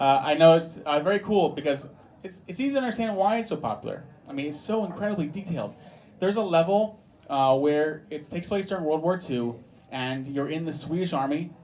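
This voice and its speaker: A man's voice: fairly high pitch, a little mellow. A young American man performing a stand-up set in a pub, dry and wry, with mock-sincere asides. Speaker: stand-up comedian. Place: pub comedy stage with a live audience. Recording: live pub recording, crowd laughing. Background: audience laughter.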